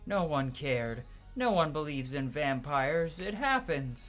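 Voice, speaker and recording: A game player voicing a frantic, paranoid witness, loudly and dramatically drawing out exclamations. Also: medium pitch, sing-song, faint music behind. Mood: frantic, horrified. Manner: loud, dramatic, drawn-out exclamations. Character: frantic paranoid witness ranting about horrors.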